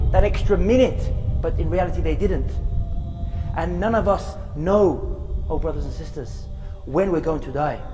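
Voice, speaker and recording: A man giving an earnest sermon outdoors in a graveyard, speaking slowly and chanting short recitations. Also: high-pitched, big-room sound, poor audio. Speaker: preacher giving a short reminder.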